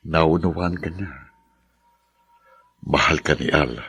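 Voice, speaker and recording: Deep, husky, solemn consoler. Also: male voice, steady tone, slow, a bit bright, exaggerated voice. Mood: solemn, consoling. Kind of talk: Filipino radio drama acting.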